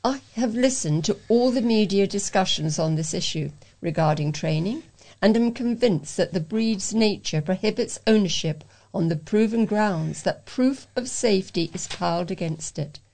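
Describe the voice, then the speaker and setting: A woman with a British accent reads printed letters aloud for a local talking newspaper. Her voice sits at a middle pitch, and she delivers the text as a volunteer reader would. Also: mellow.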